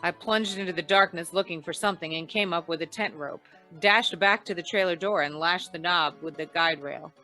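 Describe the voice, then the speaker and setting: A woman's voice: lowish voice, fairly quick, few pauses, pushed and bright, faint music behind, rough recording. A woman playing a wry, hard-boiled insurance investigator, narrating an old-time radio noir in slangy style. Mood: tense, punchy.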